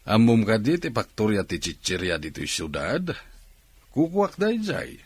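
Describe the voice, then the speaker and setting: An actor with an Ilocano accent playing a confident middle-aged city factory boss in a radio drama, assured and persuasive. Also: male voice, lowish voice, sing-song, unhurried, darkish tone, husky, heavily acted. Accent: Filipino, Ilocano.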